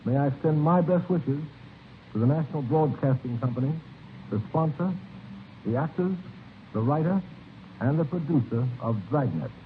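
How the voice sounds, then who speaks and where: A man's voice: a little high, expressive, normal speed, long pauses. Old phone-like radio sound, american man, police chief reading a stiff formal statement, bit of hiss. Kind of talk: formal scripted address. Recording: tinny, faintly noisy 1950s radio recording.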